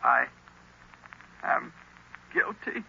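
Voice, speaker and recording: An actor playing an anguished young lieutenant struggling under a hypnotic spell, halting, dazed and pleading, in 1930s radio style. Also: male voice, bright, forceful tone, full character voice. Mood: dazed, forced. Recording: noisy, muffled 1930s radio recording.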